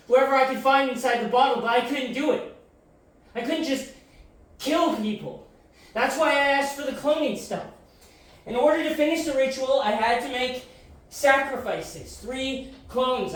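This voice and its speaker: A woman playing a guilt-ridden, weary killer who follows orders in an audio drama, halting and self-justifying. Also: sits high. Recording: very echoey, distant mic, faint noise.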